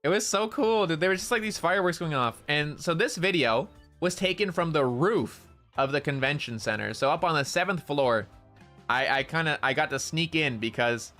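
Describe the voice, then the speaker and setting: Loud nasal young man, bright-toned. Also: a little high, normal speed, faint music behind. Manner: loud, excitable, slangy. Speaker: streamer. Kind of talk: casual stream chat.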